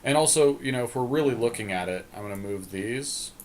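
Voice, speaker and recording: A man co-hosting a podcast, giving casual game commentary as he deliberates aloud and hedges. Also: mid-range voice, expressive, darkish tone. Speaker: podcast co-host. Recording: clearly audible background noise.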